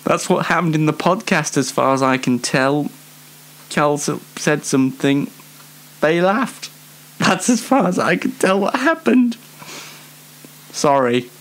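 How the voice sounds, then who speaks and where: A man's voice: fairly high pitch, expressive, a little slow, pauses often, a bit bright. A man hosting a solo show in a casual comic monologue, rambling hesitantly and poking fun at himself. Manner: hesitant, rambling, self-deprecating. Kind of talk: casual comedic monologue to audience.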